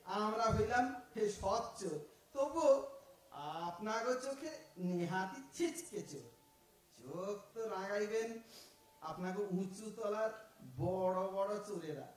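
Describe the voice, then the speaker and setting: An actor playing a cheeky small-time village thief with an East Bengal rural dialect, talking in a sly, cocky drawl in a radio play. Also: male voice, high voice, expressive, takes it slowly, pauses often. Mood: mocking. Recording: echoey room, far from mic.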